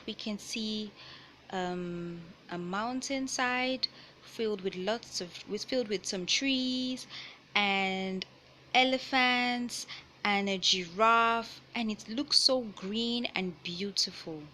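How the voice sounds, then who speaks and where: A woman reading a children's picture book aloud in a deliberate, teacherly way, pausing to explain and repeat key points. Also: medium pitch, sharp tone, slight background noise. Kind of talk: read-aloud storytelling with explanatory asides.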